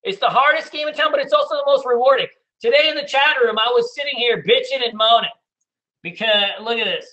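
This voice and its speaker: A middle-aged man teaching day trading in a casual, loud and blunt way, hooting and swearing as he talks over a screen share. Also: very high voice, fast, muffled recording. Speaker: trading educator.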